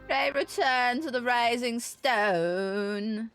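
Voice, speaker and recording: A woman's voice: a little high, extremely slow, a bit bright, soft background music. A woman livestreaming a game, casually reading dialogue aloud and commenting in a weary, blunt way.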